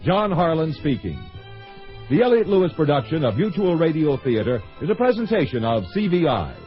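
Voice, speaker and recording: A male announcer reads closing credits in a formal, measured manner. His intonation rises and falls freely. Faint music plays under a dull, lo-fi broadcast sound. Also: a little high, big pitch swings.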